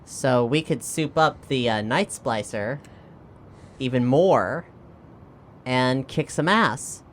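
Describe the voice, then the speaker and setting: A man's voice: mid-range voice, slow, fairly bright tone, slight background noise. A man chatting informally and inquisitively as a player in a tabletop roleplaying game. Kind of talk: casual improvised game chat.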